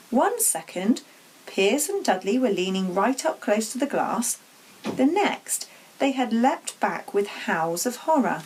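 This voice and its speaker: A woman's voice: fairly high pitch, darkish tone, breathy edge, rough recording. A woman narrating a children's storybook aloud as a teacher would to pupils.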